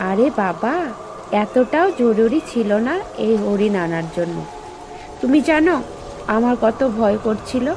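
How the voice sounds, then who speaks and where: A caring young wife from a cartoon village. She is expressive and fussing, with a high, strongly swinging pitch over clearly noisy ambience. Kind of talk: dubbed children's cartoon dialogue.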